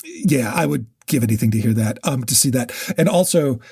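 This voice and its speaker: A man's voice: medium pitch, lively intonation, fairly quick, gravelly, mellow, slightly rough, dry recording, studio quality. A man co-hosting a podcast, casually discussing books and sharing researched facts, often restarting and correcting himself mid-sentence. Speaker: podcast co-host.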